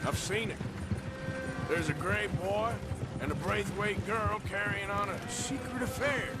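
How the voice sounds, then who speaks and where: An actor playing a guarded, gruff American outlaw in his thirties, with a terse, sardonic Western drawl in a video game. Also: high voice, unhurried, heavy background noise. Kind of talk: video game cutscene acting.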